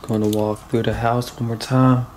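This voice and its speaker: A man in his late twenties exploring an abandoned house at night, talking low to his handheld camera. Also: level tone, moderate pace. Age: late 20s. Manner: low running commentary to camera. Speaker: paranormal urban explorer. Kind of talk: solo night exploration vlog. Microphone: handheld camera mic. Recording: noisy handheld camera audio.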